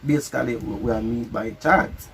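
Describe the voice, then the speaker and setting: Gravelly-voiced Jamaican man, casual storyteller. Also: mid-range voice, brisk, noisy background. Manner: repeats phrases, checks in often. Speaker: couple vlog host.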